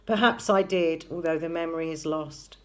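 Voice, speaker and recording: A woman with a notably low voice recites poetry. She reads with measured phrasing, as in a recital of verse. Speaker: poetry reader.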